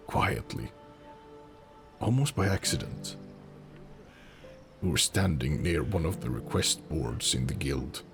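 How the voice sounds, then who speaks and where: A man narrates a fantasy story slowly and deliberately. His voice is extremely deep with a gravelly rasp. Music plays clearly beneath him. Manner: slow, deliberate, brooding. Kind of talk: dramatic fantasy audiobook narration.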